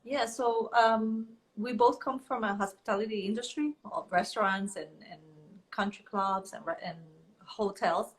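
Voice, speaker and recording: A woman from Colombia, a guest business owner, talking earnestly in a casual interview with fillers and pauses. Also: mid-range voice.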